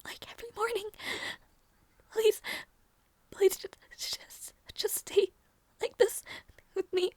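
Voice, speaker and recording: A woman playing a gentle young princess in an intimate audio roleplay, devoted and emotional, with a breathy, halting delivery. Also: extremely high pitch, fairly even intonation, no echo. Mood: pleading, tender. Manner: breathy, halting, stammering, often near whisper.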